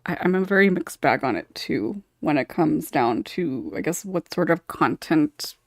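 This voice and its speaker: A woman's voice: deep voice, animated, normal speed, gravelly, no echo, studio quality. A woman talking casually in a panel discussion, thinking aloud and hedging as she goes. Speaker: podcast panelist.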